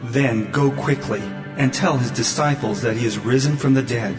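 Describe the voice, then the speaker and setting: A calm, commanding angel voiced by a man proclaims solemnly in a biblical audio drama. The voice is medium in pitch and rings in long reverb, with music clearly underneath. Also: normal speed, lightly acted. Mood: reassuring, solemn.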